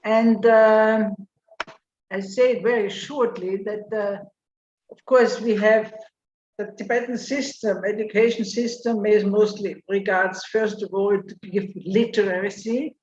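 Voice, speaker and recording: A woman from Austria giving an academic paper presentation over a video call, explaining in a measured way with some fillers. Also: medium pitch, steady tone, a little mellow. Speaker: conference speaker. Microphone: computer mic on video call. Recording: roomy lo-fi video call audio with faint noise.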